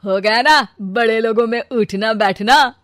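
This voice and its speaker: A woman's voice: fairly high pitch, unhurried, fairly bright tone, no room sound, clean studio sound. A woman voicing a boastful, fibbing young man in a comic story, making glib, stammering excuses without shame. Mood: glib, self-justifying. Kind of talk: comic Hindi audio story dialogue.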